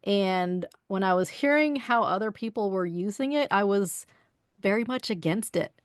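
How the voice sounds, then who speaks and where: A woman's voice: medium pitch, expressive, moderate pace, no room sound. A woman co-hosting a podcast in casual chat, easygoing, with frequent agreement and fillers. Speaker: podcast co-host.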